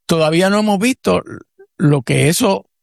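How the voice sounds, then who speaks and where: Puerto Rican male commentator, professor type, higher-pitched, reflective and digressive, dry close sound. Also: moderate pace, vocal fry, no room sound. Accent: Puerto Rican Spanish. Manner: reflective, digressive, many restarts and fillers. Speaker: radio co-host, commentator. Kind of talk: casual talk-show commentary.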